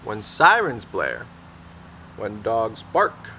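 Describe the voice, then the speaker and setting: A young man reading a children's picture book aloud as a teacher, expressively and deliberately, pausing between phrases, recorded outdoors a little way from the camera. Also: on the low side. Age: young adult. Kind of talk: children's story read-aloud. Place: outdoors in a fenced backyard garden. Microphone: camera a few feet away. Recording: faint steady outdoor background noise.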